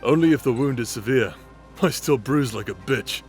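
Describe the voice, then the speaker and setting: An actor playing a cynical, deadpan, jaded demon hunter in a sci-fi audio drama. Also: male voice, medium pitch, moderate pace, close to an everyday voice. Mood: dry, dismissive. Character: jaded, paranoid, pill-popping male demon hunter. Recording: music bed underneath.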